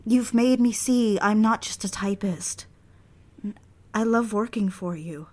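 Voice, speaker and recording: A woman's voice: mid-range voice, a little slow, a little mellow, close to an everyday voice. An actress playing a proper, dutiful and easily flustered young secretary in an audio drama, a woman speaking hesitantly and earnestly. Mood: flustered, apologetic. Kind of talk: dramatic audio fiction, intimate dialogue.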